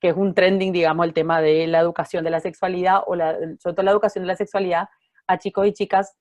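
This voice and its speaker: A woman, a lawyer, giving an informal online lecture while still hoarse from losing her voice, speaking thoughtfully with many fillers and restarts. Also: on the low side, little pitch movement, fast, darkish tone, dry recording. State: hoarse, recovering from voice loss. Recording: dull, dry video call audio.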